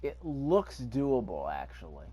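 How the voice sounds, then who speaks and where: A man's voice: medium pitch, wildly swooping pitch, a little slow, warm tone, slightly rough, dull audio. A man giving casual gameplay commentary, thinking aloud to himself as he plays. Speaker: gamer recording a gameplay video.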